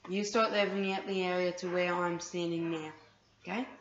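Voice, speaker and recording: A fairly low-voiced woman talks her way through a co-op game, hesitant and full of ums, bossing her partner around. The sound comes from a distant mic in an echoey room, dull and lo-fi with a faint hiss behind it. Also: steady tone, fairly quick. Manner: hesitant, lots of ums, bossy with her co-player. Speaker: gamer. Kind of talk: casual gaming chat. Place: room filmed off a TV screen. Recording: echoey lo-fi room recording with noise.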